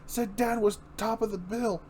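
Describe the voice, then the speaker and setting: A man's voice: high-pitched, fairly even intonation, normal speed, darkish tone, a little hiss or hum, voice pushed up, close to an everyday voice. A man voicing a distraught, pleading young injured woman in an amateur dramatic comic book reading. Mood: frightened, pleading.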